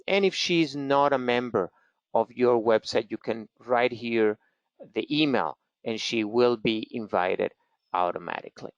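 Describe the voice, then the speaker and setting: A middle-aged man with a Venezuelan Spanish accent presenting a live webinar demo, unhurried and chatty, with lots of fillers. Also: medium pitch, no echo. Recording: slightly dull lo-fi webinar audio.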